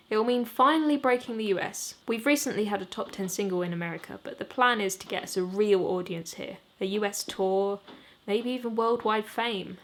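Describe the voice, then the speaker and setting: A woman reading her own novel aloud in an expressive audiobook narration style, as its author. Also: medium pitch. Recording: steady background noise. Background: rain ambience.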